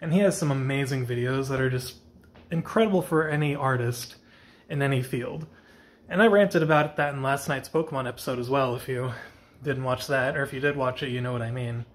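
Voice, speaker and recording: A man's voice: mid-range voice, brisk. Young man, tired, scratchy voice, rambling casual vlog chat, self-correcting. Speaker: vlogger.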